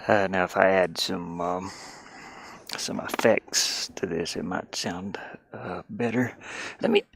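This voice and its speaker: Middle aged guy mumbling along on a stream, real slow n gravelly, kinda hesitant. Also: sits low, a bit bright. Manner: hesitant, muttering to himself. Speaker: livestreamer and hobby musician. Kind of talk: casual livestream chat while working.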